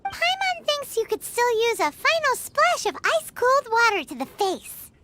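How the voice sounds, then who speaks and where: A woman voicing a tiny, excitable fairy companion in a video game, with a squeaky childlike voice and a blunt, perky manner. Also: moderate pace, heavy background noise. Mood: cheeky. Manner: speaks of self in third person, perky.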